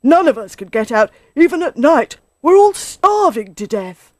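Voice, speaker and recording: A woman voicing an excitable, anxious badger father in a children's story, exclaiming emphatically. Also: a little high, moderate pace, no room sound, clean studio sound, pitched above the performer's own voice, lightly acted. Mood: alarmed.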